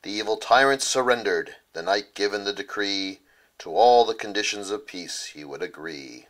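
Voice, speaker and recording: A man's voice: fairly low pitch, normal speed, a bit bright, rough recording. A man narrating a rhyming children's bedtime story as its author.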